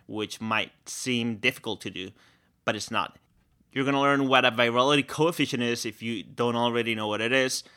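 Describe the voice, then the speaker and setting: A man hosting a podcast, talking with upbeat energy. His voice has a bright, forceful edge and sits at a middle pitch. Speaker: podcast host.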